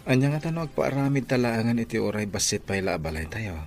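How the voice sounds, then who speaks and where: A man's voice: mid-range voice, very animated, quick pace, a little mellow, faint music behind. A man playing a humble young husband and dutiful son in an Ilocano radio drama, earnest and respectful, with an Ilocano Filipino accent. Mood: respectful, hopeful. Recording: noisy background ambience.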